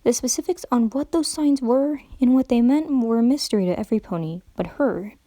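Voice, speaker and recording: A woman giving a dramatic reading of a story as narrator, with expressive storytelling. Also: on the high side, a little fast. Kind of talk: dramatic reading of fan fiction.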